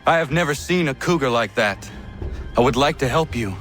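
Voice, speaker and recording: An actor playing an earnest, stern young man in a video game, reserved and speaking in formal, measured phrases. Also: mid-range voice, expressive, fairly bright tone, soft background music, close to an everyday voice. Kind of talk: video game character dialogue.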